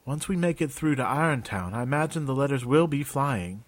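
A gentle, earnest man of faith with old-fashioned phrasing, as the narrator voices him. His tone is even and mild, recorded dry and close. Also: mid-range voice, a little fast, dry recording, voice pushed up, close to an everyday voice.

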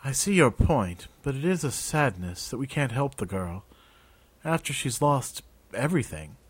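A gentle, earnest man of faith with old-fashioned phrasing, as the narrator voices him. His tone is even and mild, recorded dry and close. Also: mid-range voice, moderate pace, husky, dry recording.

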